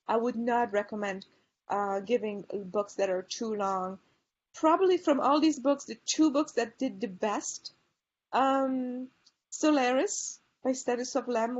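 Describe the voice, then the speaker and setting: A woman's voice: fairly high pitch, a little mellow, lo-fi sound. A woman from Poland with a Polish and Chicago accent, giving a conference presentation and recommending books with enthusiasm and frequent fillers. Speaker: librarian presenter.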